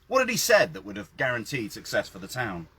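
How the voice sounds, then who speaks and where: A British man with a husky voice reads a literary first-person story outdoors in a measured, expressive storytelling manner. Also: mid-range voice. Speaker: author reading own story. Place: outdoors by a well.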